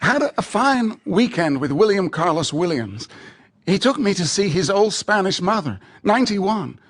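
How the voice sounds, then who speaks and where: A literary man of letters in a radio play. His voice sits high and moves animatedly up and down. He is chatty, wry and admiring as he reads to a friend. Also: very animated, normal speed, fairly bright tone, full character voice. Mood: amused, chatty. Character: witty, admiring male American poet writing to a friend. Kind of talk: radio theatre, reading letters aloud.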